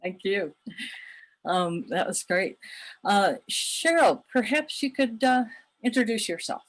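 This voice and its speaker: A woman moderating a panel discussion, speaking in a thoughtful, halting way. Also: medium pitch, lively intonation. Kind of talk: panel discussion on a video call.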